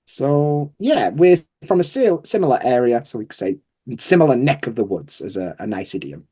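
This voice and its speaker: A man's voice: medium pitch, sing-song, normal speed, warm tone, slightly rough. A man with a Yorkshire accent, chatting informally and self-deprecatingly as a guest in a casual interview. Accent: Yorkshire English. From Yorkshire, England. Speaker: guest, English teacher.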